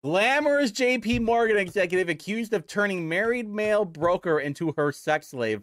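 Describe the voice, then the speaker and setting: A young man hosts a casual commentary show. He mocks and jokes as he goes, and his voice rises and falls a lot and sits a little high for a man. The sound is dry and close, with no room echo. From Indiana, United States. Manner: sarcastic, mocking. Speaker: host.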